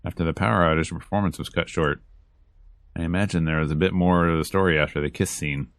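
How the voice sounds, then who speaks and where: A man reading a visual novel aloud as a let's player, in measured, dramatic storytelling narration over game music. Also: low-pitched, fairly even intonation, a bit bright, dry recording, studio quality.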